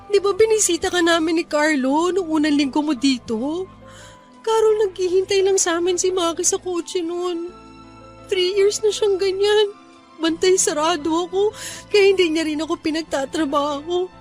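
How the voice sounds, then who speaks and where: A woman's voice: extremely high pitch, normal speed, darkish tone, soft background music. A woman with a Filipino accent playing an emotional, vulnerable young woman in a radio drama. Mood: tearful, frightened. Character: tearful battered young woman, frightened and clinging.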